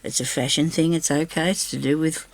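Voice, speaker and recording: A woman with a slightly raspy voice, recovering from a sore throat, chatting casually to viewers and to herself as she crafts. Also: low voice, steady tone, brisk. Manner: chatty, talks to herself while working. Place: craft table indoors.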